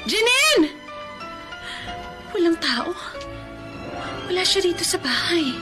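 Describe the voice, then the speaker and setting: A woman's voice: very high-pitched, big pitch swings, slow, music in the background, full character voice. A woman acting an anxious, caring friend in a Filipino radio drama, exclaiming and thinking aloud. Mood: worried.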